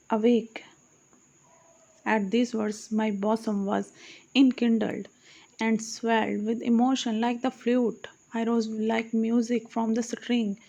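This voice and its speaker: Female audiobook narrator, higher-pitched, solemn recital of archaic verse with self-corrections. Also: creaky, warm tone, dull audio. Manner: solemn recitation, correcting her own slips. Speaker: audiobook reader. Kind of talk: reading archaic poetry aloud.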